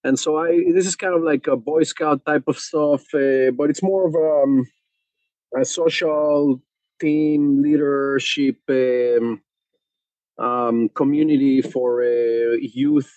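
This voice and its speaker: A man from Mexico, a podcast guest telling his story with many pauses and fillers. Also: medium pitch, steady tone, a little mellow, no room sound, low-quality recording. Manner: hesitant, many fillers and restarts. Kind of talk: conversational interview.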